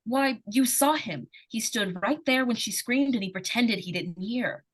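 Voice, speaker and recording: A woman's voice: fairly high pitch, measured pace. A woman playing a plucky, anxious, insistent little girl in an old-time radio suspense play. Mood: urgent, alarmed.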